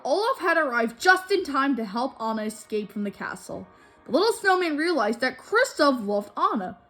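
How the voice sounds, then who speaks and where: A woman reading a children's story aloud expressively, acting out the characters' dialogue as she goes. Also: high-pitched. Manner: animated, acts out dialogue. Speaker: storybook reader.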